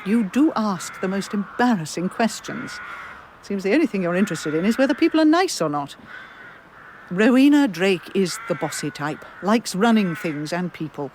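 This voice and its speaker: An actress playing a brisk, frank, opinionated middle-aged British woman in a radio mystery. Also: mid-range voice, animated, a little mellow, slight background noise, lightly acted. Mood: brisk, exasperated. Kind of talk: radio drama acting.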